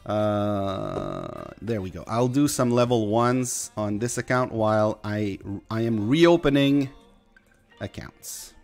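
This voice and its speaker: A man's voice: fairly low pitch, very animated, slow pace, gravelly, a little mellow, soft background music. A young man from Quebec with a slight Quebec French accent, casually chatting through a mobile game stream. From Quebec, Canada. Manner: rambling, chatty, talks to chat. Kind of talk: casual gameplay commentary.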